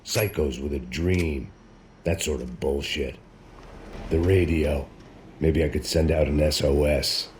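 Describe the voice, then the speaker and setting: A hard-boiled, middle-aged American video game hero with an exceptionally deep voice. He narrates like a noir antihero, sardonic and worn down by drink. Faint effects and ambience sit behind him. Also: a little slow, slight background noise. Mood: weary, brooding. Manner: world-weary, deadpan, sardonic.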